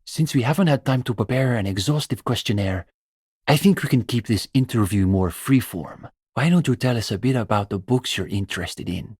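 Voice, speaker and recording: An actor playing an earnest, pretentious young communist student intellectual with a bookish, opinionated way of talking, in a video game. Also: male voice, on the low side, husky, no room sound, clean studio sound. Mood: politely welcoming. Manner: bookish, opinionated, academic phrasing. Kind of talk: video game character dialogue.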